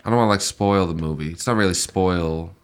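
A man's voice: deep voice, a little fast, smooth. A man co-hosting a podcast in casual, easygoing chat, a little nasal from a stuffy nose. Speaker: podcast co-host.

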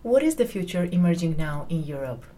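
A woman interviewer asking careful, measured questions. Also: fairly low pitch, big pitch swings, mellow, a little hiss or hum.

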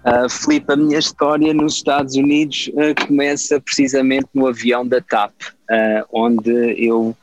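A man's voice: mid-range voice, little pitch movement, moderate pace, no echo, dull audio. A man in his thirties from Portugal with a European Portuguese accent, answering an interview in a hesitant way with many fillers and restarts. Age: 30s. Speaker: interview guest. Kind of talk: casual conversational answers.

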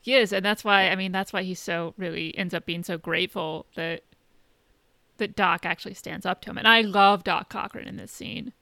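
A woman's voice: mid-range voice, fairly even intonation, moderate pace, creaky, bright, forceful tone, no room sound. A woman co-hosting a casual podcast discussion, explaining things and rephrasing as she goes. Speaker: podcast co-host.

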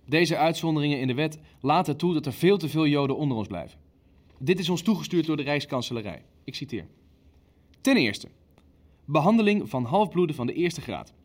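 An actor playing a ruthless, impatient Nazi official in a naturalistic audio drama, blunt and authoritative. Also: male voice, on the high side, animated, brisk. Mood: cold, insistent. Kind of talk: Dutch audio drama acting, naturalistic meeting dialogue.

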